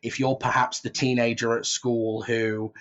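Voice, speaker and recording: A man's voice: medium pitch, flat delivery, a little slow, a bit bright, muffled recording. A man talking casually in an interview as a writer explaining his work in a conversational way. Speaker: audio drama writer and creator.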